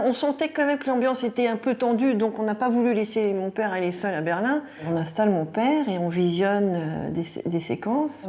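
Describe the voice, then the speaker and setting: Female interviewee, medium pitch, conversational storytelling. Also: dark tone.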